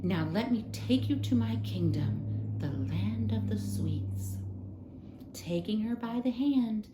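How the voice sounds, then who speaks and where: A woman reading a children's story aloud as a storyteller, in an expressive, dramatic style. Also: medium pitch, slight background noise. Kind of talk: scripted children's storybook narration. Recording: roomy, echoing room sound.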